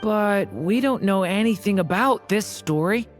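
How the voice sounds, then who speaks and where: An actress playing an earnest, thoughtful young traveler in a video game, speaking in a measured way. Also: female voice, mid-range voice, lively intonation, soft background music, natural voice. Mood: uncertain.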